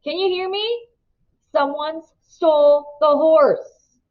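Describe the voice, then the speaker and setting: Woman as an alarmed young man, high-pitched calling. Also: takes it slowly, lo-fi sound. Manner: urgent calling out across distance. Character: young man shouting in alarm to his father.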